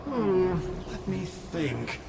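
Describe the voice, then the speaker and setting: Casting: a flippant, gravelly villain lackey who boasts about running away; echoey, music bed. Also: male voice, sits high, sing-song, very slow, heavy background noise, exaggerated voice. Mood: wry. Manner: glib, sarcastic. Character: sly cowardly clerk villain, flippant and wry.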